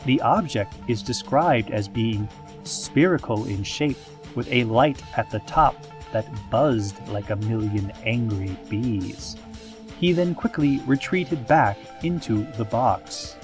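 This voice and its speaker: A man playing a calm, eerie small-town radio announcer, reading scripted parody news in a measured, theatrical, deadpan way. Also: medium pitch, faint music behind. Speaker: radio host in a parody podcast.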